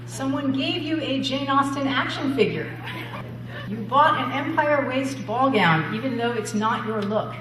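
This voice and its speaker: A woman's voice: high-pitched, expressive, a little slow. Middle-aged woman author in an echoey hall. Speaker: author giving a book talk. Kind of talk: public talk and interview. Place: large library meeting hall. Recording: roomy hall, slightly distant, audience noise. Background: audience chatter.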